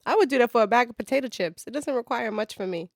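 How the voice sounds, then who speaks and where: A woman co-hosting a casual podcast, excitable and quick to laugh. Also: fairly high pitch, dry recording, studio quality. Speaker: podcast co-host.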